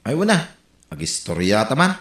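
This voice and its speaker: Brief: Ilocano comic actor, gossipy and lively, echoey room with faint music. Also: medium pitch, moderate pace. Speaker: comedic actor. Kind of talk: Ilocano comedy sketch acting.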